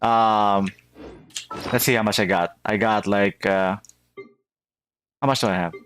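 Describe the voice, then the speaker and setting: A man's voice: lowish voice, steady tone, measured pace, a bit bright, a little hiss or hum. A man chatting casually to viewers as a game streamer, rambling and often repeating his phrases. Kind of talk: casual livestream chat to viewers.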